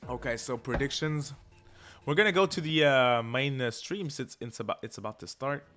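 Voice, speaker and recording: A man's voice: mid-range voice, moderate pace, fairly bright tone. A man hosting a livestream in a laid-back, informal, slangy way, chatting casually with his viewers. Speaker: livestream host. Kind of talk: casual livestream chat, reacting and reading viewer comments. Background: music.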